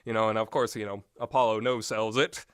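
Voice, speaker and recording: A man's voice: on the low side, fairly even intonation, a little fast, smooth, dry recording, clean studio sound. A man giving rambling, informal and opinionated commentary on games, speaking off the cuff with emphatic repetition. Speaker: commentator reviewing visual novel games.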